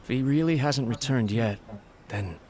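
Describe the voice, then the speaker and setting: A man voicing a loyal, worried servant in a video game, earnest, deferential and quick to belittle himself. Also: medium pitch, darkish tone, slight background noise, lightly acted. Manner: earnest, self-deprecating. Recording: game music behind the voice. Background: game music.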